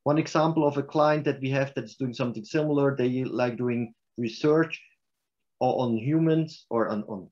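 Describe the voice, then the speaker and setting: A man with a Swiss German accent presenting software in a webinar and answering questions, explaining in a halting way with restarts. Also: mid-range voice, highs cut off.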